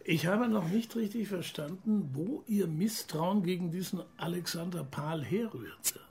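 An actor playing a polite, inquisitive journalist in a radio crime drama. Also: male voice, high voice, expressive, a little slow, vocal fry, darkish tone, full character voice. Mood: polite curiosity. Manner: polite, questioning. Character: polite, inquisitive journalist interviewing a former officer.

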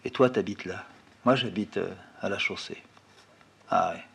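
A man telling a first-person childhood memory in a plain, unhurried, quietly reflective way. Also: deep voice, gravelly, slightly rough. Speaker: storyteller. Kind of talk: first-person memoir narration.

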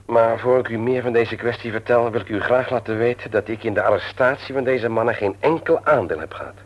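A man's voice: medium pitch, brisk, lightly acted. An actor playing a mild, hesitant office manager and family man in a Dutch radio play, polite and apologetic. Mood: hesitant, earnest. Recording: muffled old radio play recording.

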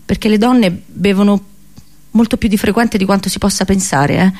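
A woman's voice: medium pitch, steady tone, normal speed, darkish tone. A woman narrating theatrically, in a wry, conversational way. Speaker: narrator, actress.

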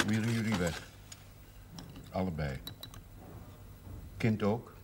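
A man's voice: fairly low pitch, slow. An actor playing a wry, probing older village father in a naturalistic television drama, with understated and hesitant phrasing. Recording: faintly noisy 1970s television soundtrack, tableware clinks.

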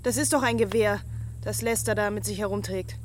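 A woman's voice: mid-range voice, fairly even intonation, fairly quick, a little hiss or hum, natural voice. A woman acting in a German radio drama as an anxious, impatient woman who keeps questioning. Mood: anxious, insistent. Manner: urgent, questioning.

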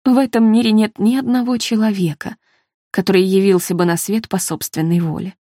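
A woman's voice: mid-range voice, steady tone, a little fast, warm tone, no room sound, studio quality, lightly acted. A woman narrator voicing an earnest, troubled woman who speaks confessionally in a novel.

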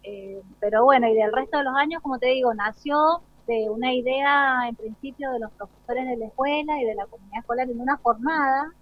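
A woman's voice: sits high, brisk, few pauses, dry recording. A woman with an Argentine accent speaking spontaneously as an interviewed school director. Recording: dull, lo-fi live broadcast sound.

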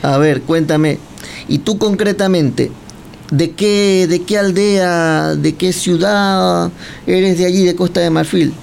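A man's voice: high-pitched, steady tone, gravelly, a little mellow, a little hiss or hum. A man from Cuba, chatting and teasing as he questions a guest and tells long stories. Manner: chatty, teasing, drawn-out storytelling. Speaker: guest interviewer. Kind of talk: casual chat.

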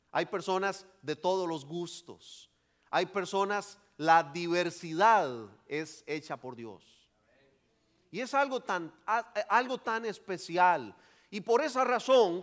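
A man preaching a sermon as pastor, swelling into emphatic lines and then dropping to quiet asides. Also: a little high.

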